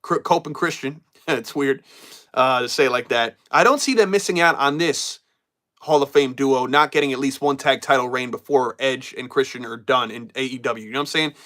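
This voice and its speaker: A man's voice: medium pitch, normal speed, fairly bright tone. A man hosting a live wrestling stream, chatting casually and reading viewer comments while getting over a cold. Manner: casual, loose, repeats himself and trails off. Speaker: wrestling show host.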